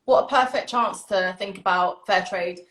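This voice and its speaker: A woman's voice: medium pitch, normal speed, fairly bright tone. A British woman hosting a live online session, chatting casually and enthusiastically to viewers. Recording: roomy, echoing sound.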